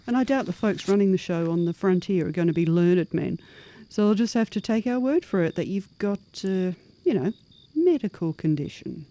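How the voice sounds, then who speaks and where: Woman as a mellow, folksy monster adventurer. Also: on the low side, animated, moderate pace. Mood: eager, persuasive. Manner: folksy, plain-spoken, coaxing. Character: practical, upbeat superhuman woman, a scheming monster adventurer. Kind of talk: audio horror-comedy drama acting.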